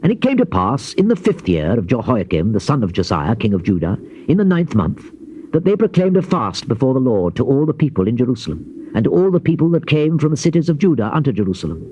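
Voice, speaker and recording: An actor playing a grave Old Testament prophet in a dramatized scripture reading, declaiming in measured phrases. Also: male voice, mid-range voice, sing-song, warm tone, natural voice. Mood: grave narration. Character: solemn male Old Testament prophet recounting God's warnings. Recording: dull noisy old cassette tape.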